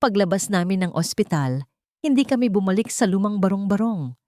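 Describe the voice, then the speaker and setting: A woman's voice: mid-range voice, lively intonation, fairly quick, dark tone, no echo, studio quality. A woman narrating a scripted first-person story for an audio drama.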